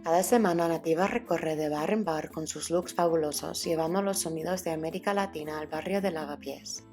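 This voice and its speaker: A woman's voice: low voice, a little fast, darkish tone, faint music behind. A woman reading scripted documentary narration in a polished, welcoming storytelling manner.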